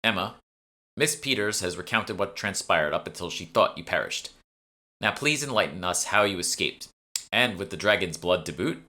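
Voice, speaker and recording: A man voicing a formal, measured guild secretary running a meeting in a fantasy audiobook. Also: low voice, lively intonation, pushed and bright. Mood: officious. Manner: officious, measured, formal wording. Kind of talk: audiobook character dialogue.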